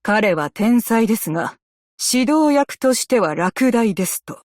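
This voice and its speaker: A woman playing a composed, dutiful female naval officer in a game, speaking with measured, formal military politeness. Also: a little high, lively intonation, darkish tone, no room sound, studio quality. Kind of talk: scripted game character voice lines in Japanese.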